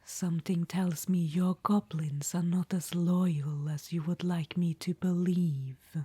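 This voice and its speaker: A proud, scheming undead witch queen, voiced by a woman, whispers right into the ear. The voice is airy and breathy with a dark, mellow tone, unhurried and low. The close mic is dry, with no room around it. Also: steady tone, no room sound. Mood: sly. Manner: soft unvoiced whisper. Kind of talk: whispered ASMR audio roleplay.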